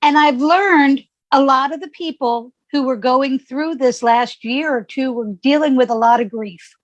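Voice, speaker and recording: A woman, a grief counselor speaking calmly and measuredly as an interview guest. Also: high-pitched, unhurried, dry recording, highs cut off, faint music behind.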